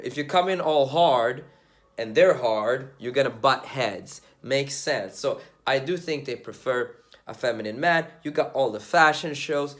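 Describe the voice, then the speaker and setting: A young man from Sweden talking casually and bluntly to camera, slangy and swearing as he gives his views on dating. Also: medium pitch. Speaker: dating commentator vlogger.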